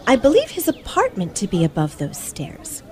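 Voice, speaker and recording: A woman's voice: medium pitch, huge pitch swings, normal speed, mellow. A woman playing a self-assured, shrewd teenage girl detective in an old-fashioned radio play, speaking precisely and formally. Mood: confident, scheming.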